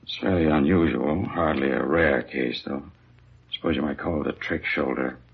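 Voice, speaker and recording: A man's voice: extremely low pitch, normal speed, gravelly, husky, heavily acted. An actor playing a matter-of-fact, mildly absent-minded doctor in a 1950s radio crime drama, musing as he talks. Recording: dull old radio recording.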